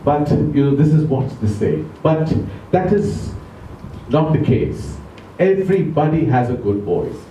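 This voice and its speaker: A man giving an educational talk to a club audience, speaking slowly and deliberately, asking them questions, English not his first language. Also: fairly high pitch, very animated, warm tone, distant mic, slight background noise. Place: club meeting room. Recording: echoey room recorded from afar.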